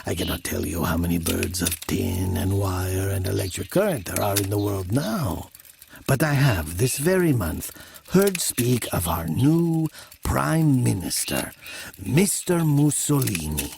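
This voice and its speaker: A man's voice: low voice, very animated, measured pace, creaky, a little mellow, a little hiss or hum, heavily acted. An actor playing an elderly, wealthy Italian man who tells his tale in a formal, theatrical manner. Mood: uneasy, measured. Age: older. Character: elderly Italian man, wealthy former mayor, uneasy storyteller. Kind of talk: dramatic first-person storytelling.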